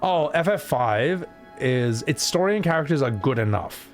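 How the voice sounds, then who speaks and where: A man chatting on a game stream, animated and quick to joke. His voice is fairly high with lively pitch swings, and faint game music plays behind him. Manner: animated, joking. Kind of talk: casual game-stream chat.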